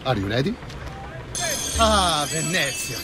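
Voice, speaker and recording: An actor playing an effusive, proud Renaissance Venetian tour guide with an Italian accent, florid and showy in a video game. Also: male voice, a little high, very animated, slow. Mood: showy pride. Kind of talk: theatrical video game acting. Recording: music behind the voice.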